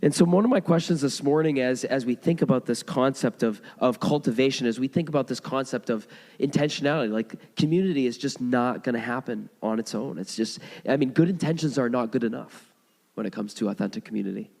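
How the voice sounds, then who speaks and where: A hoarse man preaching a sermon, telling stories in a conversational way with frequent restarts. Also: mid-range voice, a little fast, darkish tone. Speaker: pastor. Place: church sanctuary. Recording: long hall reverb.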